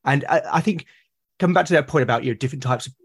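A British man speaking as a podcast guest in casual conversation, haltingly and often repeating words as he thinks aloud. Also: mid-range voice, slightly rough. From Britain. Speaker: podcast guest, solo roleplaying gamer.

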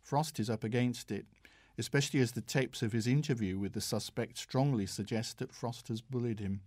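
A British man reading a scripted audiobook review in a measured, formal manner. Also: mid-range voice, steady tone, a little mellow, no echo, studio quality. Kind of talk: scripted review read aloud.

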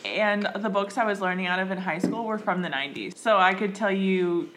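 A woman's voice: mid-range voice, a little fast, a bit bright, a little hiss or hum. A woman co-hosting a casual podcast, making dry, wry remarks. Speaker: podcast co-host. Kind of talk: casual chat.

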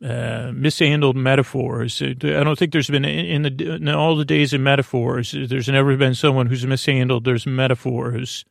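Male sleep-podcast host: gravelly, creaky and lulling, halting and digressive, recorded dry and close. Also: mid-range voice, little pitch movement, no echo. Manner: meandering, halting, trailing into tangents; soothing, gently lulling. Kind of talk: rambling sleep-aid podcast monologue.

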